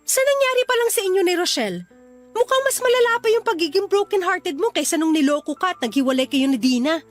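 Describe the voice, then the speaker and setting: A woman's voice: extremely high pitch, lively intonation, fast. A woman playing a caring but exasperated friend in a Filipino radio drama, earnestly admonishing with a Filipino accent. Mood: exasperated, scolding. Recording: dramatic music behind the voice.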